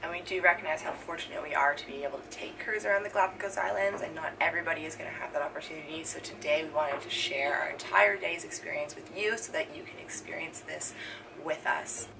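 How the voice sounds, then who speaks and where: Twenties woman on a travel vlog, teasy, gravelly voice, noisy and a bit far off. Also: low voice, fast talker. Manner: teasing, giggly. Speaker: travel vlogger. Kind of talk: casual travel vlog chat. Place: outdoors on an island and in a ship cabin. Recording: distant noisy camera audio.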